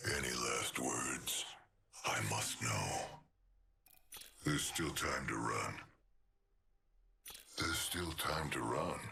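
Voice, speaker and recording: A sinister machine assassin from a video game, with an extremely low, gravel-filled voice. He savors every threat slowly and cruelly, sliding between a sharp-edged growl and a breathy whisper. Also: a little hiss or hum, full character voice. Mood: sadistic relish. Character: menacing sadistic robotic killer, male, taunting his prey. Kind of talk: video game character voice lines.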